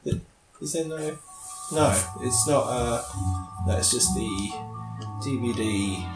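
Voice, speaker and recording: A man chatting casually as a podcast co-host doing movie commentary, nasal and stuffed up with a cold, a little halting as he goes. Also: mid-range voice, lively intonation. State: sniffly with a cold. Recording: roomy echo, music behind.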